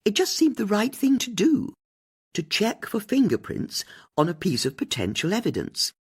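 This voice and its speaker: An audiobook narrator voicing a sprightly, confident older woman amateur detective with a bright, emphatic delivery. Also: mid-range voice, huge pitch swings, normal speed, breathy edge, pitched above the performer's own voice, full character voice. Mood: musing. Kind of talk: audiobook character dialogue.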